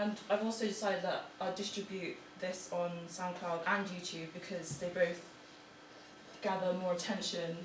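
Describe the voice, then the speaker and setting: A young woman giving an informal student presentation in a halting, hesitant way. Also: on the low side. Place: room in front of an audience. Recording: echoey, noisy room, distant mic.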